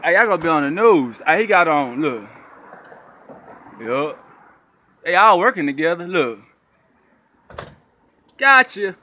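A man giving casual, excited commentary outdoors, slangy, taunting and often repeating himself. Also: on the high side, unhurried, pauses often. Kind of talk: casual excited street commentary while filming. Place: outdoors at night.

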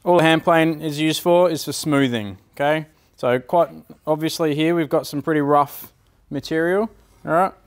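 A man's voice: a little high, moderate pace, smooth. A man in his thirties giving an informal hands-on demonstration as a woodwork teacher, often checking in with short tag questions. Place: school woodwork workshop, indoors.

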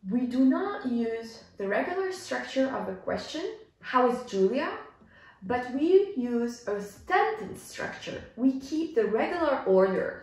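Animated young woman teacher in echoey room. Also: fairly high pitch. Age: young adult. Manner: deliberate, demonstrative enunciation for learners. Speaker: English teacher. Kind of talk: story reading with simple explanations for learners. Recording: echoey room, music behind.

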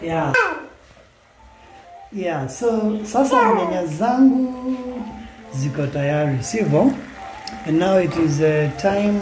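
A man with a Kenyan accent chatting casually as he cooks in a small home kitchen, mixing languages and half-singing repeated words. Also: a little high. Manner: chatty, mixes Swahili and English, sing-song repeats words. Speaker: home cook vlogger. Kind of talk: casual cooking vlog. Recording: echoey kitchen with music behind.

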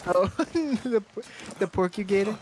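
A man's voice: high-pitched, animated, takes it slowly, darkish tone. A young man playing a co-op game with a friend, teasing and laughing a lot. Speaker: gaming partner. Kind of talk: casual co-op gaming chat. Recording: game sound and noise behind voice.

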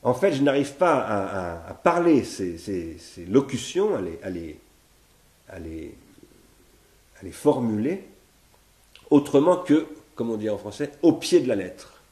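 A man's voice: on the low side, big pitch swings, unhurried, pauses often, mellow. Thoughtful French man lecturing in an echoey room. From France. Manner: thoughtful, searching, restarts and repeats words while reasoning aloud. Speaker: philosopher giving a seminar. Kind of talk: spoken philosophy seminar, partly read from notes. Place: living room, indoors. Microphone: fixed camera at medium distance.

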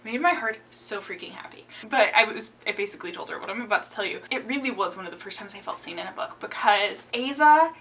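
Young woman book vlogger, bright and lively, slightly off-mic with faint room hiss. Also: mid-range voice, fast talker, few pauses, recorded from a distance. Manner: chatty and expressive, gestures a lot. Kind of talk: casual book tag chat. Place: home room with bookshelves. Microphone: camera framing, upper body.

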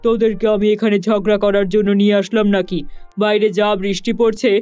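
A man plays a fed-up male ghost king in a children's cartoon. He scolds and grumbles in a high voice. The sound is dry and slightly dull, with music behind. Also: flat delivery, fast, darkish tone, clean tone, no room sound, lightly acted. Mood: scolding. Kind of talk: Bengali children's cartoon voice acting.

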